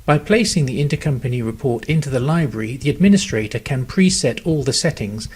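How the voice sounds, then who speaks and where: Slightly husky male software tutorial narrator. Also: mid-range voice, expressive. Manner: measured, step-by-step explaining. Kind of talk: scripted instructional narration.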